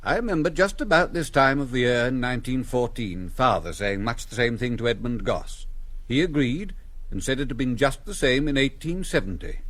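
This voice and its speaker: An actor playing a wry, dry-witted gentleman in a radio play. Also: medium pitch, expressive, moderate pace, natural voice. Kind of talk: radio stage-play acting.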